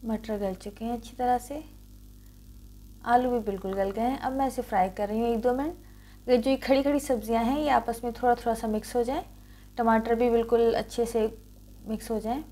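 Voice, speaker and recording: Higher-pitched woman narrating a recipe. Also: fairly even intonation, moderate pace, dark tone. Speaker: cooking show host.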